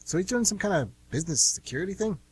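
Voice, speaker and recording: A man's voice: high-pitched, animated, quick pace, husky, full character voice. A man playing a nosy, blunt younger brother with a casual, pestering manner in an amateur radio play.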